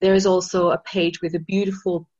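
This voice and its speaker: Brief: low-voiced non-native woman from Austria, keen interview guest, muffled lo-fi recording. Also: little pitch movement, fairly quick, a little mellow. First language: not English. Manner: enthusiastic storytelling with many restarts and fillers. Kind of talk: casual interview talk.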